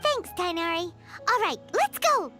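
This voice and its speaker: A woman's voice: very high-pitched, very animated, measured pace, music in the background, full character voice. A woman voicing a tiny, eager, bubbly female fairy companion in a video game. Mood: cheerful.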